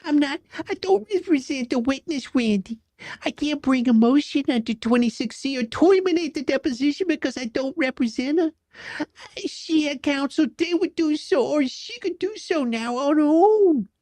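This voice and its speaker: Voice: high-pitched, lively intonation, moderate pace, darkish tone, no echo. A performer playing a combative, indignant male attorney who argues in clipped, repeated demands during a dramatic deposition reading.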